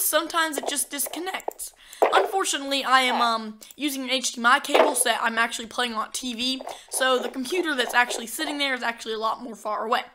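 A woman talking casually while playing a game, chatty and easily excited, with a sore throat that makes her voice crack. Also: sits high, background noise. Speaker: gamer. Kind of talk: casual gameplay commentary.

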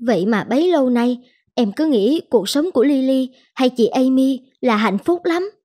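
A woman playing a worried, reflective young wife in an animated drama, earnest and wistful. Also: high voice, normal speed. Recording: long reverb, loud music over voice. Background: loud music.

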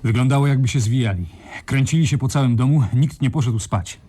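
A man's voice: medium pitch, fairly quick, dark tone, close to an everyday voice. An actor playing a loyal, eager, plain-spoken gang henchman in a radio crime drama. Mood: matter-of-fact.

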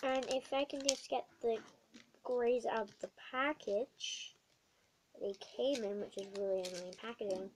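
Croaky young girl with a cold. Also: fairly high pitch, fairly even intonation, measured pace, noisy background. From not American. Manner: chatty, rambling with many ums and uhs. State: has a bad cold, croaky. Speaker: craft vlogger. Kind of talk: casual craft tutorial vlog. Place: small dim bedroom indoors.

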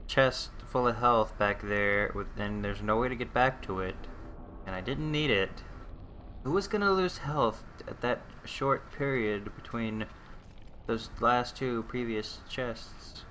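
A man's voice: medium pitch, takes it slowly, bright, forceful tone, slight background noise. A man playing through a game and commenting on it, exasperated and swearing at enemies, talking to them as he fights. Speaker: let's play commentator. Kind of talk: gameplay commentary. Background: game music and sound effects.

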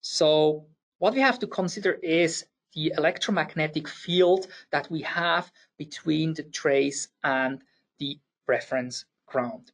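Swiss German man, gravelly and husky. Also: a little high. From Switzerland. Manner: explanatory, slightly halting with fillers and restarts. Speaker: webinar presenter. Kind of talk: technical webinar presentation.

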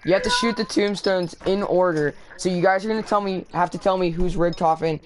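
A woman's voice: fairly low pitch, fairly quick. A young woman streaming a zombie game, chatting with friends in a voice party and giving them orders. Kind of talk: casual gaming party chat. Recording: clear background noise with clicks.

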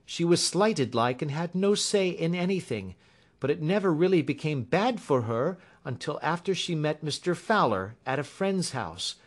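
A man's voice: a little high, very animated, moderate pace, voice pushed up. A man voicing a plain-spoken working-class servant woman in an audiobook, deferential yet insistent, with folksy phrasing. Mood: confiding, insistent. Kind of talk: audiobook character dialogue.

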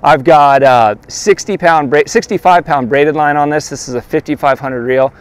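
A man in his thirties, a fishing guide, showing his gear outdoors by a lake and talking straight to the camera in a relaxed, practical way. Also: on the high side, normal speed, clear, resonant tone. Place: outdoors by a lakeshore. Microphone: lapel mic.

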